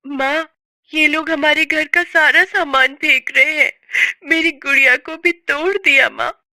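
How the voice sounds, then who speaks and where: A woman's voice: high voice, a little slow, pushed and bright, dry recording, pitched above the performer's own voice, full character voice. A woman voicing an innocent little girl in a story, sweet, childlike and plaintive. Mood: upset. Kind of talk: dramatized story reading.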